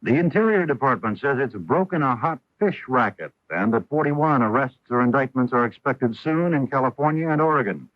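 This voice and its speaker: A man's voice: mid-range voice, expressive, brisk, few pauses, no echo. A man with an American accent reading scripted network news as a radio anchor. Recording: dull lo-fi 1980s radio broadcast.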